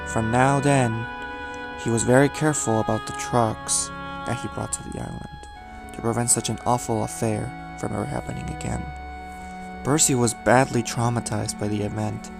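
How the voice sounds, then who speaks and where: A man reading scripted narration for a horror audio drama, as storyteller, with measured, dramatic emphasis. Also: medium pitch, creaky.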